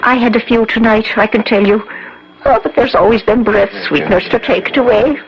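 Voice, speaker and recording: A woman's voice: fairly high pitch, a little fast, vocal fry. An actress playing a pious, tippling old Irish cleaning woman in a radio drama, folksy and rambling. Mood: wry, sympathetic. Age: older. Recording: dull, muffled radio drama recording, crowd behind.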